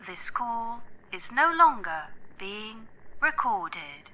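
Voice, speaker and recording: A woman's voice: fairly high pitch, sing-song, extremely slow, bright, forceful tone, full character voice, rough recording. A woman playing an obedient robot assistant in an audio drama, making stilted machine-like announcements. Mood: obedient, neutral.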